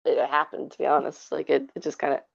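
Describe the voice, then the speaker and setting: A woman's voice: deep voice, flat delivery, fast talker, creaky, muffled recording. A woman chatting casually as a guest on a podcast.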